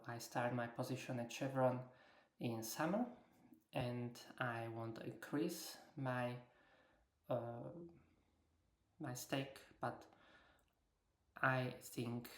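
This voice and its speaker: Echoey room, woman, English, slow delivery, hesitant, frequent filled pauses, unscripted slide commentary. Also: very deep voice. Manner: non-native English with grammatical slips. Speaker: investor presenting earnings takeaways.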